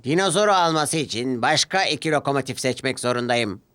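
A man voicing a firm but kindly railway controller with a measured, authoritative manner in a children's cartoon. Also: mid-range voice, lively intonation, pushed and bright, clean tone. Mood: stern. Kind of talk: children's cartoon character dialogue.

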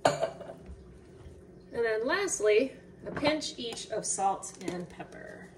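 A young woman talks through her cooking in a loose, chatty way, her voice sitting a little high. She sounds a bit away from the mic in a home kitchen, with clear background noise. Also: very slow. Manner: relaxed, chatty, with fillers. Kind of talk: casual cooking narration. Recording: distant mic, noticeable room noise.

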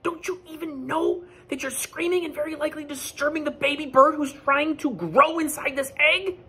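A man voicing a bossy, excitable duck from a children's picture book, scolding and exclaiming emphatically. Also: very high voice, normal speed, pitched above the performer's own voice. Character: excitable, bossy, possessive young male cartoon duck.

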